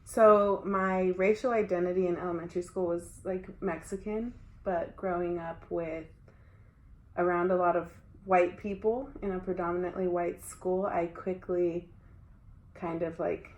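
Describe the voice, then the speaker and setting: A woman's voice: lowish voice, fairly even intonation, unhurried. A young adult woman talking casually about her school years, often using "like". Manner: conversational, frequent filler "like". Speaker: interviewee. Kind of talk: casual conversation. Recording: echoey room.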